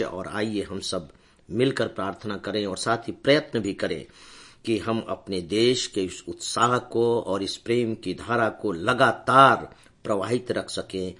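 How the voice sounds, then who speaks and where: Older male educator, hoarse, lowish voice, unhurried motivational address. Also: fairly bright tone. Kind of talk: motivational talk to camera.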